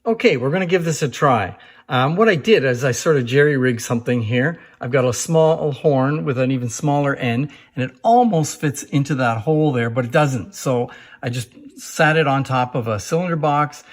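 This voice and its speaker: A man's voice: medium pitch, lively intonation, moderate pace. A man giving an informal, unscripted demonstration as a collector, explaining things in a plain-spoken way to viewers. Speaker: antique phonograph collector and presenter. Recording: echoey, roomy sound.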